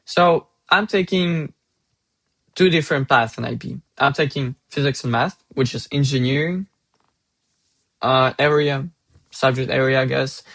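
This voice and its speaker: A young man from Russia with a Russian accent, giving earnest, halting advice as a student and restarting phrases mid-sentence. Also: medium pitch, vocal fry, a bit bright. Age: young adult. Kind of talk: casual advice monologue.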